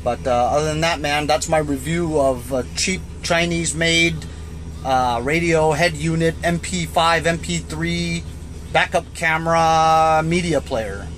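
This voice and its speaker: A man's voice: fairly high pitch, a little slow, fairly bright tone, slight background noise. A man giving a casual, off-the-cuff gadget review in a laid-back, colloquial way, as a vlogger talking inside a van.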